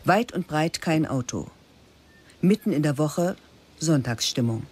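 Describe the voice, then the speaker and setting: A man narrating a radio play in a literary style, terse, measured and sober. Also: a little high, animated, a little mellow, slight background noise.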